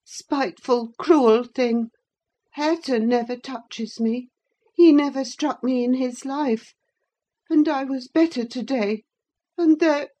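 A woman's voice: sits high, takes it slowly, warm tone, voice pushed up. A woman voicing a sickly, spoiled boy in an audiobook, petulant, needy and spiteful. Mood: fretful. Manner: petulant, wheedling. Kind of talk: dramatised audiobook reading of a classic novel.

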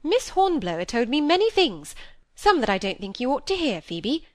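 A woman's voice: high voice, very animated, moderate pace, dry recording, pitched above the performer's own voice. A woman voicing a stern, bossy older spinster in a storybook, speaking in an emphatic, sententious way. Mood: disapproving. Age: middle-aged or older.

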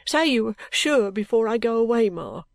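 A woman voicing an affectionate, tearful young bride in an audiobook, pleading and halting with emotion. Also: on the high side, expressive, vocal fry, dry recording, clean studio sound. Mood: anxious, pleading. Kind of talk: audiobook character acting.

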